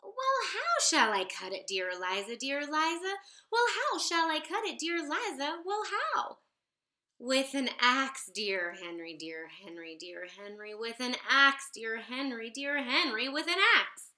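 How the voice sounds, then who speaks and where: A woman reading a children's picture book aloud in an expressive, theatrical sing-song way. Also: high voice, measured pace. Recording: roomy, echoey sound.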